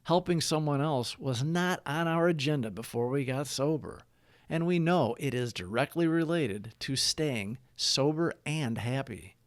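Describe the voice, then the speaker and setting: Man reading reflections, lively pitch, dry sound. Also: a little slow, no room sound. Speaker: narrator of daily recovery readings. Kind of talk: scripted read-along narration of devotional reflections and prayers.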